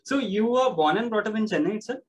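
A male interviewer who asks rambling questions, halting with fillers. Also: high-pitched, animated.

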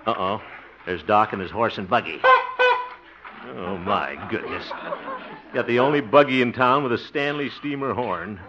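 An actor playing an excitable, easily flustered middle-aged radio host with a giggly chortle, in 1950s radio comedy style. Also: male voice, fairly low pitch, unhurried, pauses often, crowd noise. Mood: wry. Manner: broad comic exclamations and muttered asides. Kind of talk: 1950s radio sitcom acting. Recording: dull, very noisy old radio recording.

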